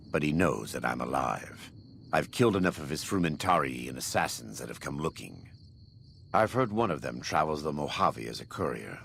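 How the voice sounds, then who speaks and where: An actor playing a devout, vengeful former warlord in a video game, speaking in a solemn, measured, preacherly way with a gravelly voice. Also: male voice, a bass voice, fairly bright tone, a little hiss or hum, heavily acted. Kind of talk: video game dramatic dialogue.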